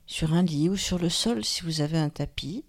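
A woman guides a relaxation meditation in a calm, soothing manner, leaving long pauses. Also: deep voice. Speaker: yoga nidra guide, host.

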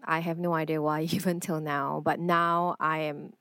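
A woman talks earnestly and thoughtfully as a podcast guest. Her voice sits on the lower side and sounds close and dry, with almost no room around it. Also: little pitch movement, moderate pace, a bit bright, clear, resonant tone, no room sound. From Singapore. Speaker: guest artist. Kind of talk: reflective podcast conversation.

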